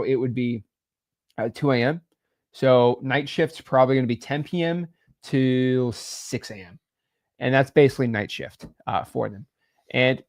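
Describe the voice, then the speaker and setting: A man hosting a casual business chat, rambling and animated with lots of fillers. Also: mid-range voice. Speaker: real estate podcast host.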